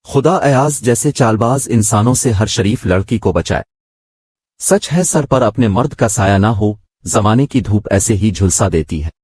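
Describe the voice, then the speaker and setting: A man's voice: lowish voice, expressive, measured pace, darkish tone, slightly rough, no echo. A man reading a scripted moral story as its narrator.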